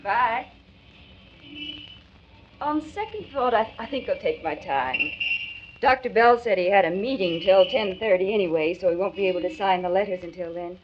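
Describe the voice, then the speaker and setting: An actress playing a kindly young secretary, polite, earnest and sympathetic, in 1940s radio drama style. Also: female voice, mid-range voice, moderate pace, lightly acted. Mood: friendly. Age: young adult. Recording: dull, noisy 1940s radio transcription.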